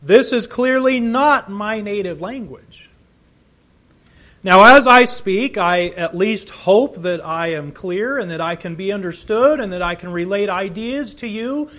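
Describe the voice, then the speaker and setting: A man's voice: high voice, unhurried, a bit bright. A man preaching a sermon, earnest and emphatic, his volume rising and falling as he teaches.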